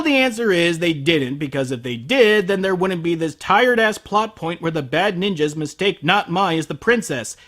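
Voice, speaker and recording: A man's voice: sits high, fairly bright tone. A man delivering a scripted comedic review as host, sarcastic, exasperated and prone to swearing. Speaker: host of an anime review show.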